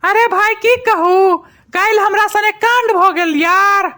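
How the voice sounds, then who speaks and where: A woman's voice: extremely high pitch, a little slow, bright, forceful tone, exaggerated voice. A woman voicing a gullible, plaintive young village shopkeeper who explains at length in a comic cartoon. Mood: plaintive explaining. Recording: music behind the voice.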